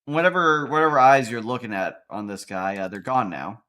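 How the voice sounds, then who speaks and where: A man narrating as game master in a tabletop game, pausing often with uhs. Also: mid-range voice, bright, forceful tone. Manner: halting narration with frequent uhs.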